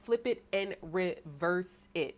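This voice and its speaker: A woman's voice: lively intonation, noisy background. Preachy American woman reading tarot. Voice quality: self-described deep voice. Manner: preachy, rhetorical, asks "right?" often, bursts of fast talk. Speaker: tarot reader. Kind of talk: tarot sermon to an online audience.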